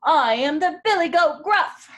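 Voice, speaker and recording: A woman voicing the biggest billy goat, speaking boldly and deliberately. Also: extremely high pitch, expressive, voice pushed up.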